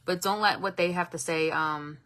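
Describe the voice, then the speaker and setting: A woman in her late thirties talks openly to her livestream viewers, chatting and answering their comments as they come in. Her voice is bright and forceful, sitting somewhat low for a woman. She is frank and swears now and then. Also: steady tone, normal speed. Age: 30s. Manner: candid and chatty, swears freely, warm to commenters. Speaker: livestream host. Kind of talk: casual livestream chat reading viewer comments.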